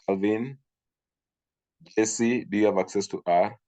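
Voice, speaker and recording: Deep-voiced man teaching an online class. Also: fairly even intonation, measured pace, mellow. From Kenya. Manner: hesitant, repeats himself, frequent fillers. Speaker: instructor. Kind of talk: online training session. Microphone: video call.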